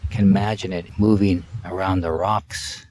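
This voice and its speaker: A man leading a live guided meditation in a calm, measured way, in short phrases with long pauses, outdoors. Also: low-pitched. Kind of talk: live guided meditation, spoken softly and unscripted. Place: outdoors by water and trees.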